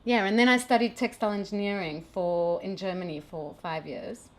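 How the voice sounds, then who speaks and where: A woman's voice: mid-range voice, steady tone, brisk. South African woman explaining textile engineering. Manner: conversational, technical, frequent restarts. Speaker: brand founder. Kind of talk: casual interview.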